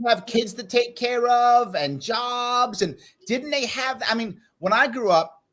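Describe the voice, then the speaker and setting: A middle-aged man from the Bronx, hosting a live panel discussion in an animated, interjecting way with repeated phrases for emphasis. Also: very high-pitched, unhurried, crowd noise. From New York City, the Bronx. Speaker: panel show host.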